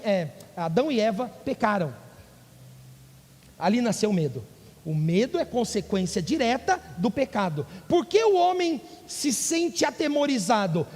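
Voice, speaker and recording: A male pastor preaching a sermon, rising into emphatic bursts and asking the congregation questions. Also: extremely high pitch. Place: church. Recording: church hall with long reverb.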